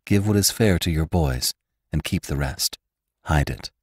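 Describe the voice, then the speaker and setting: A man's voice: a bass voice, lively intonation, unhurried, breathy edge, no room sound, clean studio sound, full character voice. A man reading the lines of a troubled, wealthy rancher woman in an earnest, pleading way.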